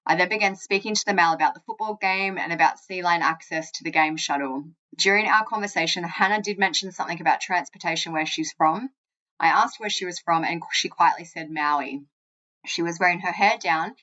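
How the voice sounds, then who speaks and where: Woman true crime podcaster, lowish voice, chatty but reading stuff out, bit dull sounding audio. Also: fairly quick, pushed and bright. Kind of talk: conversational true crime narration, reading aloud.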